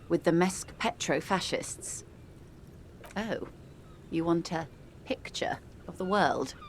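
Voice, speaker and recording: A worldly, composed woman of mature years speaks in a lowish register. She is eloquent and measured, with gentle condescension fit for narrative game dialogue. Music plays clearly beneath her. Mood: wry, measured. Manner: measured, eloquent, calls the listener dear and darling. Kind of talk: dramatic video game dialogue. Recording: music playing under the voice.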